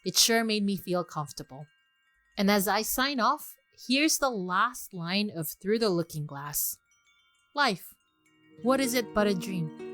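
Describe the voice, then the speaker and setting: A woman hosting a podcast, reading scripted narration and storybook prose in an expressive, courteous way. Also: lowish voice. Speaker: podcast host and reader.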